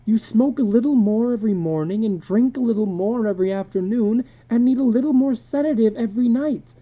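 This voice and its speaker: A woman's voice: fairly high pitch, a little fast, dark tone, pitched above the performer's own voice, close to an everyday voice. A woman voicing a frightened, pleading housewife in a dramatic story reading, anxious and insistent.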